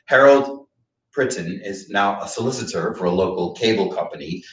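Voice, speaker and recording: A man's voice: lowish voice, lively intonation, moderate pace, lightly acted. Man acting pompous, bitter old drunk professor, echoey. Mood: bitter, brooding. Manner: pompous, sardonic, pontificating. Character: bitter, drunken older male philosophy professor near retirement. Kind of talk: staged play reading. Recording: echoey room, distant microphone.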